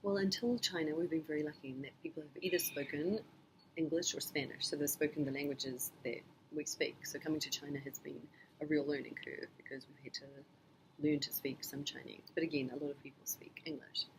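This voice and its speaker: A middle-aged woman from New Zealand, answering her child's interview questions in a thoughtful, measured way with frequent pauses and ums. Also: low voice, steady tone, a little hiss or hum, poor audio. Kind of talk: casual family interview.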